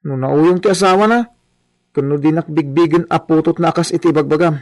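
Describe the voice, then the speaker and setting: A man's voice: a little high, fairly quick, darkish tone, close to an everyday voice. A Filipino-accented man playing an aggrieved, stubborn young porter and son in a radio comedy-drama, plaintive and protesting.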